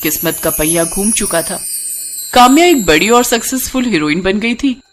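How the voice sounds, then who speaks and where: A woman narrates a scripted cartoon story. Her voice sits at an average pitch and she tells it expressively, with light music playing underneath. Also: lively intonation, moderate pace.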